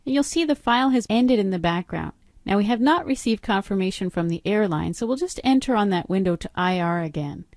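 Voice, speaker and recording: A woman's voice: medium pitch, lively intonation, fairly quick, few pauses, a little mellow, no echo, clean studio sound. A middle-aged woman narrating a screen-recorded training walkthrough, explaining each step in a matter-of-fact instructional way. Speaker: software trainer.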